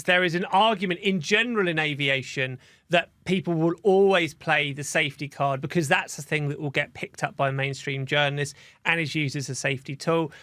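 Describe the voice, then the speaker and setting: A man's voice: fairly high pitch, sharp tone, dry recording, studio quality. A man hosting a news podcast in a conversational, discursive style. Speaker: podcast host.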